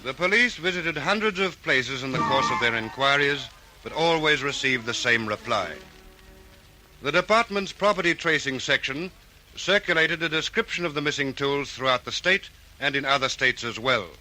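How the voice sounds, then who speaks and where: A man narrating an old radio crime serial, in a crisp, formal, dramatic style. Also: medium pitch, moderate pace, bright, forceful tone, faint music behind, rough recording. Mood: earnest, suspenseful. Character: earnest male crime-case narrator.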